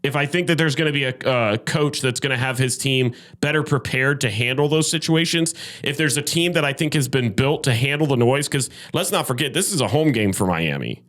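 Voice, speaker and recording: A man's voice: mid-range voice, fairly quick, hardly any pauses, fairly bright tone. A man hosting a sports podcast, debating casually and making his points emphatically with plenty of statistics. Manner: opinionated, emphatic, cites statistics.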